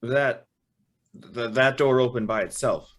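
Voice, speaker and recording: Guy playing young wide-eyed guy in old radio drama, medium pitch, amazed and unsure. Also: a little slow, a bit bright, close to an everyday voice. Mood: puzzled amazement. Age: young adult. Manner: wondering, hesitant, stammering. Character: naive, awestruck young male guest and narrator.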